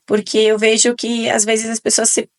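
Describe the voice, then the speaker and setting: A woman's voice: a little high, monotone, normal speed. A woman around forty with an Italian-influenced southern Brazilian Portuguese accent, talking chattily and emphatically as a guest on a radio podcast. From southern Brazil, Italian-settled region. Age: 40s. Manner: chatty, emphatic, tag questions. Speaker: guest nutrition speaker. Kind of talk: casual radio podcast interview. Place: radio studio.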